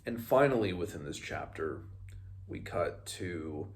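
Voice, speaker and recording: A man in his twenties talks through a book in a very deep voice with plenty of vocal fry. He moves between retelling and reading aloud, a little haltingly, restarting as he goes. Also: lively intonation, a little hiss or hum. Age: 20s. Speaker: book reviewer. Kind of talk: book read-along, recapping and reading aloud. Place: small room at home, indoors.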